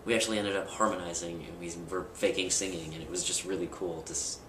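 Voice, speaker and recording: Young man, very low voice, candid rambling vlogger, roomy distant home-camera sound with noise. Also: fairly even intonation, fast. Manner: candid, rambling with fillers and restarts. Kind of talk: casual personal vlog. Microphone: camera mic at a distance.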